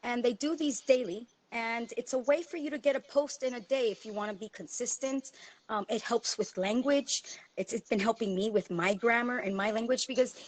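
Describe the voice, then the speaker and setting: A woman's voice: fairly high pitch, moderate pace, low-quality recording. A woman, a guest chatting casually over her phone, talkative and self-deprecating with many fillers. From Miami, USA. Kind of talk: casual chat.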